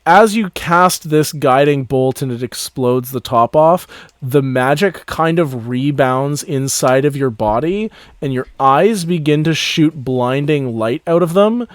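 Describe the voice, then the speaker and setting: A man's voice: a little high, unhurried, dry recording, studio quality. A man acting as game master, narrating a tabletop game in a casual, descriptive way.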